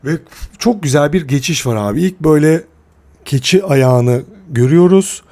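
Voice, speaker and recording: A man giving casual, slangy film commentary as a video reviewer, halting and correcting himself as he goes. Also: fairly high pitch, animated, warm tone. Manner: halting, self-correcting delivery.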